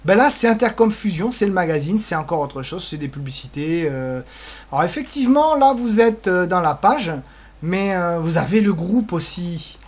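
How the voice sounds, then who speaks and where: A man's voice: sits high, lively intonation, normal speed. A man co-presenting an improvised screen-share tour, talking offhand and flippantly as he thinks aloud. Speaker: co-presenter giving a guided tour of social media pages.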